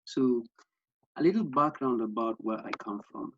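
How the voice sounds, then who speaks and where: A man's voice: medium pitch, lively intonation, moderate pace, slight background noise. A young man from Kenya with a Kenyan accent, earnestly presenting an online workshop over a video call, with many fillers and restarts. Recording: slightly dull lo-fi sound.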